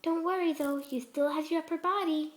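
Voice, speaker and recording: A woman voicing a sweet, soft-spoken but twisted female pegasus pony surgeon, gentle and cooing in a story reading. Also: sits high, fairly even intonation, brisk, pitched above the performer's own voice, lightly acted. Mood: sweetly apologetic. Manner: gentle, cooing, serenely unhinged.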